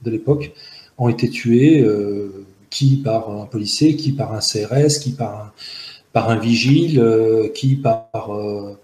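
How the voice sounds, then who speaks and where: A man in his forties, originally from Algeria with Arabic as his first language, speaking as an interview guest, earnest and analytical, pausing often with fillers and restarts, talking outdoors into a close camera. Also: fairly low pitch, warm tone, reverberant, low-quality recording. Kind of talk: reflective testimony in an interview. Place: outdoors in a park-like garden by buildings.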